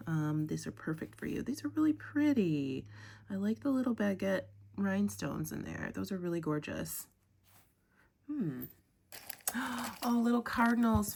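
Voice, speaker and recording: A young woman with a slightly low voice talks casually into a close mic at a home desk. She sounds sniffly from a cold. She chats along and gushes with drawn-out "ooh"s, and a faint hiss sits under her voice. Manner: chatty, gushing, drawn-out "ooh"s and "um"s. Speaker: crafting vlogger. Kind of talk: casual unboxing chat.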